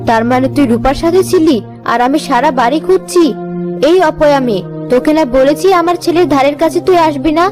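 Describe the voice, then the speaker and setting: Cruel village stepmother for a cartoon, female performer. Raised pitch, biting and scolding, music underneath. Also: a little fast, close to an everyday voice. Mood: angry, scolding. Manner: harsh, threatening scolding. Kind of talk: cartoon story acting. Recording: music behind the voice.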